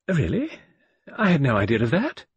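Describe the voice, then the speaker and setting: A man voicing a tired, peevish, martyred married woman in an audiobook, with a sharp, plaintive delivery. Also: fairly high pitch, normal speed, slightly rough, dry recording, dull audio, voice pushed up.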